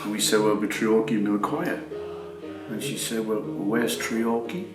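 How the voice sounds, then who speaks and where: A man's voice: lowish voice, measured pace, reverberant, music in the background. A Welsh man from a male voice choir telling anecdotes in a casual interview.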